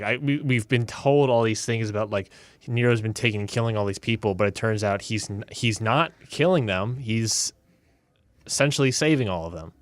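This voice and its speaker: Halting man in a dry close recording. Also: medium pitch, no echo. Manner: halting, rambling, repeats words, swears. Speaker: player on a tabletop game podcast. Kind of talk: casual chat about his character.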